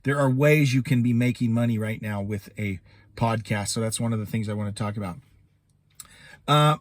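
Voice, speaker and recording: A man's voice: fairly high pitch, dry recording, studio quality. A middle-aged American man giving a casual, chatty vlog update while sitting in a parked truck. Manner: conversational, chatty. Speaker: YouTube host and voiceover podcaster.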